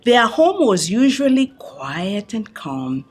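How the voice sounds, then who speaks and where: A woman's voice: medium pitch, sing-song, takes it slowly, slightly rough. A woman talking and reading aloud to young children, explaining things simply and putting questions to them. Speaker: refugee center worker reading a picture book to children. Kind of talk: read-aloud and talk addressed to young children.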